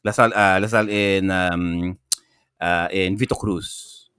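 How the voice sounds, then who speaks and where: A man with a Filipino accent chatting casually as a gaming show panelist, excitable and rambling, often repeating himself. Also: sits low, unhurried, smooth, dry recording, studio quality. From Philippines. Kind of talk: casual chat.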